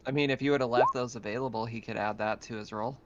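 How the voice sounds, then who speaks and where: A man's voice: medium pitch, steady tone, fast, very noisy. A man running a tabletop game as game master, narrating casually over an online voice chat. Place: online voice call. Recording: dull, lo-fi voice chat.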